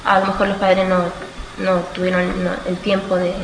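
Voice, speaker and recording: An eighteen-year-old woman from Australia answers interview questions informally, haltingly searching for words and correcting herself. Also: on the low side, monotone. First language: Spanish. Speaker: student being interviewed. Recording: echoey room with clear background noise.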